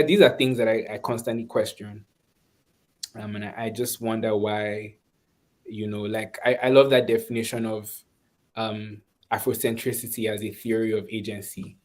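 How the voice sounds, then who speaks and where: A Nigerian man speaking reflectively and conversationally in an online webinar, often pausing and restarting as he searches for words. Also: lowish voice. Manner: thoughtful, halting, searching for words. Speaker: webinar speaker, course instructor.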